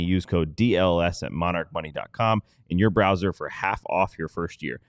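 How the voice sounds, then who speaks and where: Very deep-voiced man, dry sound. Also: dry recording. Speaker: sports podcast co-host. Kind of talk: casual sponsor read.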